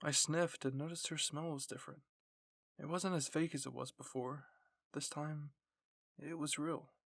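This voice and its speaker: Soft, dry-sounding male story narrator. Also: a little high, steady tone, moderate pace, creaky, no room sound. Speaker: narrator and reader. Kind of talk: scripted first-person ASMR story narration.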